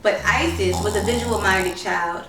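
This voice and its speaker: A woman's voice: mid-range voice, unhurried, fairly bright tone, recorded from a distance. A woman narrating a literary short story, reading aloud from the text. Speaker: story reader. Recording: roomy echo, noisy, off-mic home recording.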